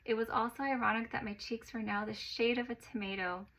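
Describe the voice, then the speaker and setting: Expressive woman telling a personal story. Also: fairly high pitch, a little fast, poor audio. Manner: expressive, growing louder at exciting moments.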